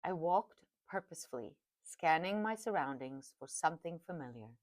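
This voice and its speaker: A woman's voice: sits low, expressive, moderate pace, no room sound. A middle-aged woman, an Afrikaans speaker, reading her own memoir aloud at home with reflective, chatty asides. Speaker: podcast host and author. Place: home dining room.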